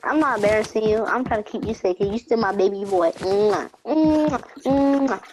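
A woman's voice: on the high side, normal speed, darkish tone, slight background noise, lightly acted, poor audio. A woman voicing a doting, protective mother of a young hero in a fan game roleplay. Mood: fussing, affectionate. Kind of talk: fan roleplay acting over video game.

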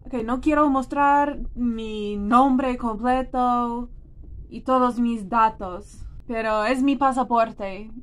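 A woman's voice: on the high side, slow. A woman in her thirties from the United States, a native English speaker with an American accent, chatting casually and expressively to camera. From United States, New Jersey. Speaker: vlogger. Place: small room indoors.

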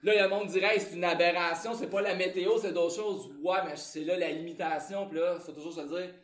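A man's voice: sits high, fairly even intonation, a little fast, echoey room. A man with a Québécois French accent, a translator giving an informal conference talk in a loose, conversational way with many fillers.

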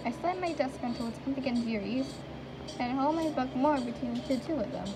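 A woman with a high voice reads game narration aloud, quick and stumbling over words. The home recording carries a clear hiss. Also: expressive. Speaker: game player reading aloud. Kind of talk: casual reading of game text.

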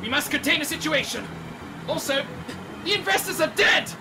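A woman's voice: sits high, lively intonation, moderate pace, background noise. A woman playing a dutiful, panicky park official with an urgent, formal manner in an audio drama. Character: panicky, dutiful park announcer pleading for order. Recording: distant voice over music.

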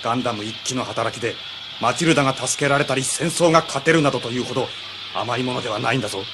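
A man's voice: mid-range voice, rapid-fire, background noise, close to an everyday voice. A man playing a stern, composed military officer in anime drama, firm and admonishing. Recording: music behind anime dialogue.

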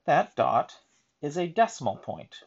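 A man reading a children's picture book aloud in a deliberate, emphatic teaching manner, adding explanatory asides. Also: a little high, wildly swooping pitch, muffled recording.

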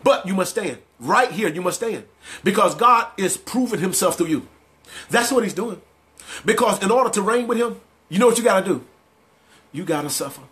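A man's voice: high voice, animated, normal speed, a bit bright. A man preaching a motivational sermon, driving points home with emphatic, repeated phrases.

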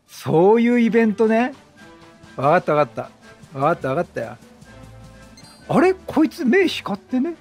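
表情豊かな高めの声の男性ゲーム実況者. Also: male voice, high-pitched. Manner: talkative, exclamatory, talking to the characters. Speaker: gaming host. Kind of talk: chatty let's-play commentary, reading game dialogue aloud.

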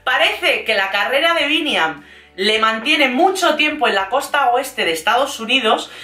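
A woman with a Castilian Spanish accent chatting casually and playfully as an online host, in an animated, gossipy, slangy way. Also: high-pitched, moderate pace, echoey room. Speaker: YouTube host recapping reality TV. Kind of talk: casual, chatty vlog commentary.

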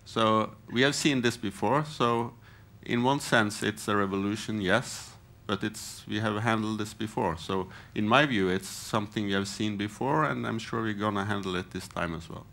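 Panelist, male, 50s, lowish voice, plain and brisk, headset mic. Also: vocal fry, a bit bright. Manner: short, plain, rhetorical questions. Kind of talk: conference panel remarks. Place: conference hall panel stage.

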